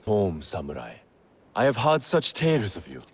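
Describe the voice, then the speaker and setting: 사극 게임 속 낮고 능글맞은 밀수업자 두목. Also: male voice, on the low side, wildly swooping pitch, normal speed, full character voice. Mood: sly, persuasive. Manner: smooth-talking, sarcastic, theatrically polite. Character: sly, mocking male smuggler boss, self-serving and sardonic. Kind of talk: video game cutscene acting.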